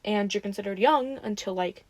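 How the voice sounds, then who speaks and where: A woman acting in an audio roleplay as a friendly, excitable young woman who rambles casually and gets playfully defensive. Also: mid-range voice, moderate pace, no room sound, natural voice. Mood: relaxed, reminiscing.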